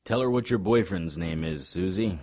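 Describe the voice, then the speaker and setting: A man playing a gruff, world-weary supernatural investigator in an audio drama, speaking in a wry, terse and insistent way. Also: lowish voice, moderate pace, warm tone. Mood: wary, exasperated. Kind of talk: audio drama acting and first-person narration.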